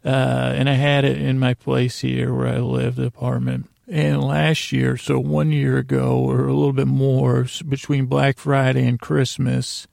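Creaky-voiced American man, rambling. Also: mid-range voice, little pitch movement, darkish tone, no echo, studio quality. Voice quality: creaky, gravelly. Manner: meandering, halting, self-interrupting, digressive. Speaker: podcast host. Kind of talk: rambling bedtime monologue.

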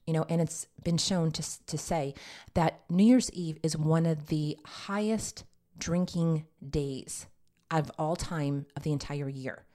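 A woman's voice: deep voice, mellow. A woman hosting a health podcast as a nurse practitioner, sounding nasal and congested, chatting straight to her listeners. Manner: chatty, addresses listeners directly as ladies. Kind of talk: casual health podcast talk.

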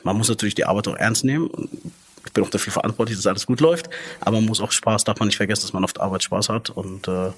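A man's voice: lowish voice, breathy edge. Earnest man, restaurant manager. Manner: earnest, talks in loose run-on clauses with fillers. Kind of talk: casual interview.

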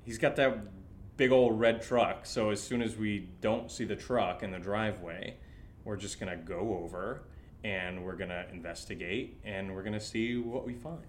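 Actor as an animated, sarcastic rattled friend, noisy recording. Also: male voice, fairly low pitch, lightly acted. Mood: scheming. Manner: animated, halting, rambling. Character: excitable, sarcastic, rattled male friend who drinks. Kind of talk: naturalistic horror audio drama acting.

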